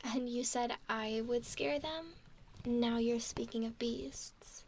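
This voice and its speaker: A woman playing a curious, affectionate mermaid in an ASMR roleplay, speaking gently and playfully, often in a whisper. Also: a little high, slow pace, slight background noise. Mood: soft, teasing. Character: curious, affectionate young female mermaid named Valerie.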